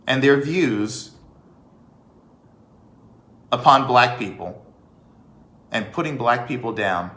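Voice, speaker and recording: A man giving an emphatic opinion monologue as a vlog host, with rhetorical questions and deliberate pauses. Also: mid-range voice, bright, forceful tone. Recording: echoey room.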